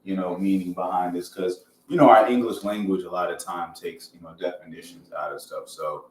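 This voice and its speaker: A man's voice: low-pitched, steady tone, fairly quick. An American man hosting a podcast, moving between casual, slangy talk and reading aloud. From Georgia, United States. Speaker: podcast host. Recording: roomy echo, distant mic, faint noise.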